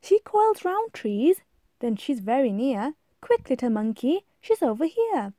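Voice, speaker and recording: Woman as an eager, high-voiced helpful butterfly. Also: sing-song, a little slow, warm tone, no room sound, exaggerated voice. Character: helpful, eager, confident butterfly guiding a monkey. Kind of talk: rhyming storybook read-aloud.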